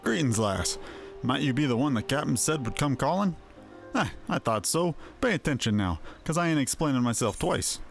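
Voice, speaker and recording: A man voices a gruff, impatient fantasy-game scout. His speech is rough and colloquial, at an average male pitch. Soft music plays underneath. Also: lively intonation, normal speed. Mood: gruff, instructive.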